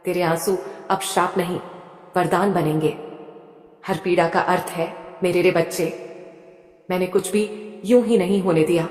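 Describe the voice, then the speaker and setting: A woman's voice: fairly low pitch, lightly acted. A woman voicing a tender, motherly goddess who soothingly consoles a grieving devotee in an intimate devotional monologue. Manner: soothing, intimate second-person address. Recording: echoey with long hall reverb.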